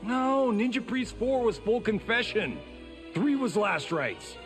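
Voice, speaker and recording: An actor playing a brash movie-star fighter in a video game, casual and showy. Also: male voice, high voice, very animated, slow pace, a bit bright, faint music behind, full character voice. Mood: breezy.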